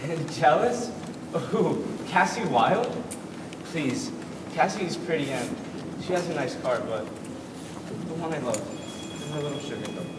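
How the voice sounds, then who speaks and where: A man playing an upbeat emcee and eager young boyfriend on stage, announcing quickly to an audience. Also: on the high side, lively intonation. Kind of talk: live stage comedy play. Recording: roomy hall from far off, audience noise.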